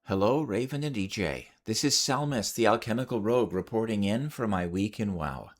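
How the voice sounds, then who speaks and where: Animated low-voiced man reading a report. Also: moderate pace. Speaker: listener sending in a weekly report. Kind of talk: scripted spoken report.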